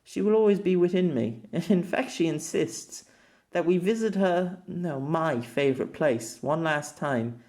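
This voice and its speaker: A man's voice: a little high, measured pace, darkish tone, natural voice. A man reading as a grieving empress's farewell. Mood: solemn farewell.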